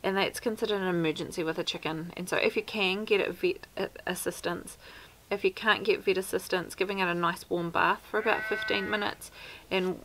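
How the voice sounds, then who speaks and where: Down-to-earth New Zealand homesteader woman, thirties, slightly low and gravelly, practical chat to camera outdoors. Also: steady tone, brisk, fairly bright tone, rough recording. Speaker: homesteader vlogger. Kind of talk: casual how-to talk to camera. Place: outdoors by a wooden fence. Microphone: camera at arm's length.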